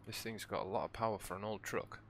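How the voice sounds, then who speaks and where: A man with a croaky, hoarse voice, giving relaxed, rambling commentary as he plays a farming game for his channel. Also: fairly low pitch, quick pace, a little hiss or hum. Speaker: let's play host. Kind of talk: casual gameplay commentary.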